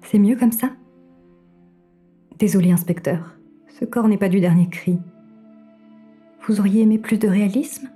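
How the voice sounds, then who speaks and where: Woman playing a mellow, devoted female AI assistant. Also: mid-range voice, measured pace, pauses often, soft background music, natural voice. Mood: anxious, confessing. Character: female AI assistant in a robot body, devoted, confessional. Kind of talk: French audio drama acting, intimate dialogue.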